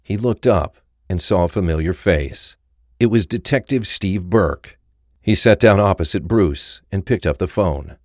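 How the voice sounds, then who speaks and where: A man's voice: low-pitched, measured pace, a little mellow, dry recording, studio quality. A man reading scripted narration for a crime thriller audio drama.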